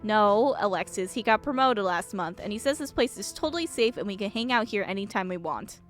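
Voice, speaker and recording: A woman's voice: medium pitch, lively intonation, fairly quick, soft background music, lightly acted. A woman voicing a cocky, know-it-all teenage girl in a game, snippy and boastful.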